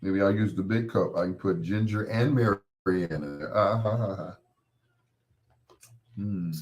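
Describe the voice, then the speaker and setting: A middle-aged man with a very low voice, gone hoarse from a cold. He hosts a late-night radio show in a loose, rambling, folksy way and chuckles at his own corny jokes. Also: measured pace, soft background music.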